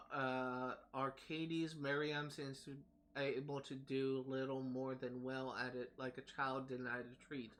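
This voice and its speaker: A man reading in-game text aloud on a gaming livestream, haltingly, often stumbling over words and names. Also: mid-range voice, a bit bright. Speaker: livestream host.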